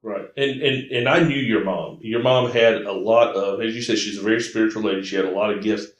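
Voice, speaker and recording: An American man chats as an interviewer. His voice is on the low side, and he keeps affirming and rephrasing in an easy conversational style. The room adds a clear echo. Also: steady tone, brisk. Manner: frequent affirmations, restates what guest says. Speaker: podcast host interviewing a guest. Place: indoor room, in-person conversation. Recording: roomy, echoey indoor recording.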